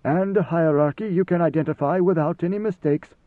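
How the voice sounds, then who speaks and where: A man voicing an ancient, godlike ruler who speaks in a calm, knowing, lordly and deliberate way, as in an audiobook. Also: on the high side, pitched above the performer's own voice, natural voice. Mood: wry, imperious. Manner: measured, deliberate, formal. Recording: slightly roomy, phone-like narrow sound.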